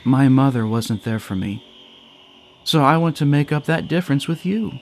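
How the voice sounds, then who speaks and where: A man's voice: mid-range voice, expressive, moderate pace, a little mellow, a little hiss or hum, lightly acted. A man voicing a regretful woman in her fifties who longs to be a mother, in an audio story. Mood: regretful, earnest. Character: fifty-something woman, regretful, sad, wanting to mother.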